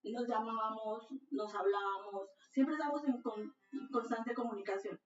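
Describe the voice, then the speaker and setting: A woman's voice: a little high, fairly even intonation, a little fast. A woman speaking emphatically in an interview, repeating words for stress. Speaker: interviewee, relative. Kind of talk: documentary interview. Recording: roomy, distant, dull audio with music.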